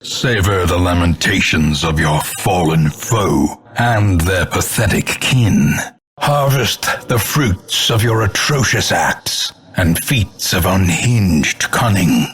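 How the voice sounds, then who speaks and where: An actor playing a grim, scornful older male announcer in a video game, grandiloquent and portentous, with a gravelly, husky voice. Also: low voice, expressive, takes it slowly, a bit bright, full character voice. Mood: scornful, gloating. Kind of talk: theatrical scripted game announcements.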